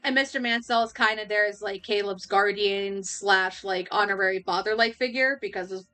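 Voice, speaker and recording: A young woman livestreamer chatting casually, laughing often and rambling with restarts, her voice going a little hoarse. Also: medium pitch, steady tone, normal speed, bright, forceful tone, soft background music. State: losing her voice. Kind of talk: casual chat.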